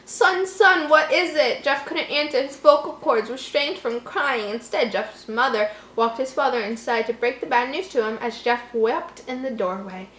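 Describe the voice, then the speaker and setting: A woman's voice: fairly high pitch, sharp tone. A woman reading a story aloud casually, with giggly, mocking asides of her own. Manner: giggly, breaks off to mock the text. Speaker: host reading an online horror story aloud. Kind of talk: casual read-aloud with joking commentary. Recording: roomy echo, faint background noise.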